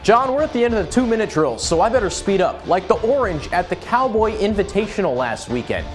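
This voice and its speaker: A man's voice: sits high, sing-song, a little fast, faint music behind. An American man delivering scripted sports banter as a broadcaster.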